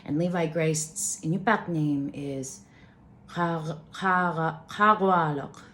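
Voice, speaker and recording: Woman, low voice, storyteller reading aloud, halting and careful, informal asides, noisy background. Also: steady tone, a bit bright.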